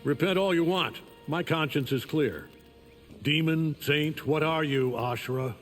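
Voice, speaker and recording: An actor playing an arrogant, menacing, godlike conqueror in a video game, with a contemptuous, commanding delivery. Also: male voice, fairly high pitch, measured pace, faint music behind, lightly acted. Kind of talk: video game character acting.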